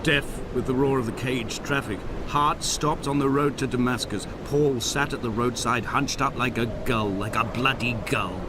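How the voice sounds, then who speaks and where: An actor playing a grieving, injured castaway who narrates a video game in solemn, poetic, feverish monologue. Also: male voice, mid-range voice, background noise, lightly acted. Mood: anguished, feverish. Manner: solemn, poetic, deliberate delivery. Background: music.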